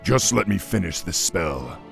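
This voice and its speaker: A man's voice: low voice, sing-song, a little slow, vocal fry, music in the background, exaggerated voice. A man playing a noble orc war chief in a fantasy game, grave and commanding, speaking formally and with solemn measure. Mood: solemn, grateful.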